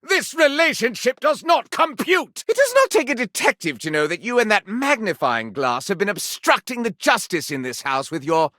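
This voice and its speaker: An actor voicing a living candelabra in a video game, theatrically outraged and pompous, with melodramatic, archaic, flowery diction. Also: male voice, high voice, huge pitch swings, moderate pace, few pauses, bright, forceful tone, no room sound, studio quality, heavily acted. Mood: pompous disapproval. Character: flamboyant scandal-mongering living candelabra, male, theatrically outraged.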